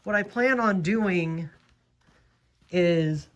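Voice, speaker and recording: A young man chats through a casual vlog at an unhurried, drawn-out pace. He is losing his voice, so it sounds slightly worn, and he pauses often with ums and uhs as he thinks aloud. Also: high voice. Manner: slow, hesitant, full of ums and uhs. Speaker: host.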